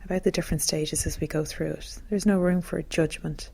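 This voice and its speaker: A woman leading a guided meditation in a gentle, calm, soothing manner, as a teacher would. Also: deep voice, fairly quick, vocal fry, slightly rough, no room sound.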